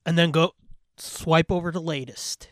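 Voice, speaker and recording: A man's voice: fairly high pitch, fairly even intonation, unhurried, no echo, studio quality. A man co-hosting a podcast, talking casually about films and shows in a blunt, sweary way. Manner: blunt, profane. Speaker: podcast co-host.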